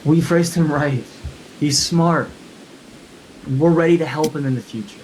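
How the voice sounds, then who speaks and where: A man playing a calm, devout father and husband, reassuring and resolute, in a film script table read. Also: fairly high pitch, a little slow, slight background noise, close to an everyday voice, poor audio. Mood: solemn reassurance.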